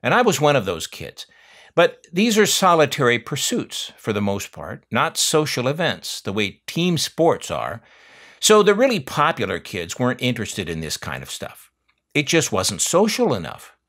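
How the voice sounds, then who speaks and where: A man's voice: mid-range voice, huge pitch swings, normal speed, a bit bright. An older man reminiscing casually in a wry, folksy storytelling manner, drawing out phrases for emphasis. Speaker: narrator, vintage electronics collector. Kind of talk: casual reminiscing narration over catalog pages.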